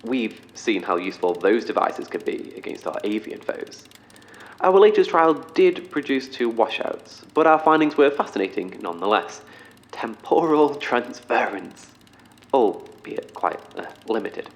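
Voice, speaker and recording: An actor playing an eccentric professor in an audio drama, speaking in a formal, wordy, slightly awkward corporate manner. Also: male voice, mid-range voice, very animated. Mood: defensive, halting. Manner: formal, wordy, halting under pressure. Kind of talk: orientation film and meeting speech in audio drama.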